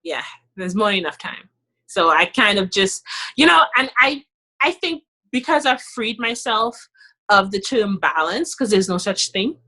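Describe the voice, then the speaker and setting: A woman's voice: medium pitch, lively intonation, a little slow, bright, forceful tone. A woman with a Trinidadian accent, a podcast guest talking in a casual, emphatic way, often adding "you know". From Trinidad and Tobago. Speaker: podcast guest, dentist and author. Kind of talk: casual interview chat.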